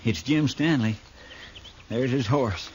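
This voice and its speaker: An actor playing a loyal, folksy American frontier deputy, deferential and questioning, in a 1950s radio western. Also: male voice, medium pitch, slow, close to an everyday voice. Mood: doubtful. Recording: faintly noisy 1950s radio recording.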